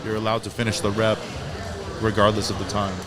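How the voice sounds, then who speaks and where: A man's voice: fairly low pitch, completely flat delivery, a little fast. A man in his twenties giving live sports commentary, explaining technique in a technical way. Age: 20s. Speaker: strength competition commentator and coach.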